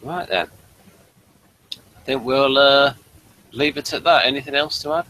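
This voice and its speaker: Man, medium pitch, bright sharp voice, casual review talk. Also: measured pace, slight background noise, poor audio. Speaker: reviewer and host.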